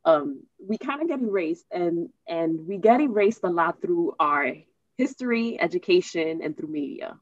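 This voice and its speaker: An American woman hosting a casual interview, speaking haltingly, searching for words and repeating herself. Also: fairly low pitch, lively intonation, no echo, dull audio. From United States. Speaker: host interviewing a guest.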